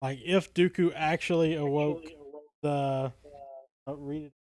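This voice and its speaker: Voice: medium pitch, fairly even intonation, unhurried, darkish tone, no echo. A podcast co-host with a mid-range voice of unclear gender, in casual discussion. The delivery is tentative, as if thinking aloud, and the sound is very dry.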